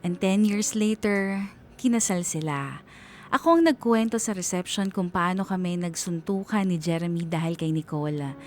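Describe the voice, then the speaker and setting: A woman's voice: fairly low pitch, moderate pace, darkish tone, a little hiss or hum. A woman with a Filipino accent giving a scripted, dramatic first-person story narration for radio. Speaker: radio DJ reading a listener's story.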